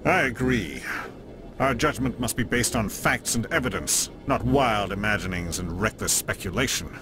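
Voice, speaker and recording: A man's voice: lowish voice, expressive, moderate pace, natural voice. A man playing a stern alien councilor in a video game, pompous, judicial and dismissive. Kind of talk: video game cutscene acting. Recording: music behind game dialogue.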